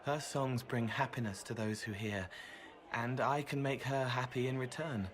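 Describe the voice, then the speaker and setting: An actor voicing an odd, gentle young male spirit in a video game who speaks quietly, plainly and strangely earnestly. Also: medium pitch, steady tone, normal speed, a little hiss or hum, natural voice.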